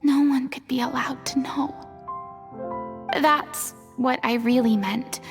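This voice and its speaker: An actress playing a proud but vulnerable young former stage idol in a video game, earnest and theatrical. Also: female voice, high-pitched, fairly even intonation, slow pace, over music. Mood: wistful, confiding. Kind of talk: dramatic video game voice acting.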